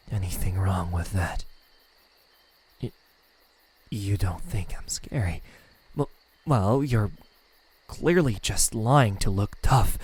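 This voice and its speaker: Breathy, slow-talking male demon, acted by a man. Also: low voice, exaggerated voice. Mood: grave. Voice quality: breathy, slipping into whispers. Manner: slow, hushed, taunting delivery with pauses. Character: male demon, former slayer, smug, menacing yet melancholic. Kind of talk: ASMR character roleplay.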